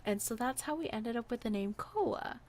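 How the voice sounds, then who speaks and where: A woman's voice: on the high side, moderate pace. A woman telling a personal story in a casual podcast style, animatedly acting out what people said. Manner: animated, acts out quoted dialogue.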